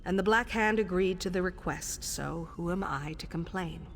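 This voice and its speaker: A woman voicing a composed, sardonic assassin guild superior in a video game, speaking in a measured, dryly wry, commanding way. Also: lowish voice, fairly bright tone, a little hiss or hum. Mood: measured, businesslike. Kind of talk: scripted video game quest dialogue.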